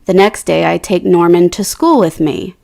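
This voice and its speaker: A woman reading a children's picture book aloud, slow and expressive, giving the characters' quotes their own lift. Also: fairly low pitch, vocal fry.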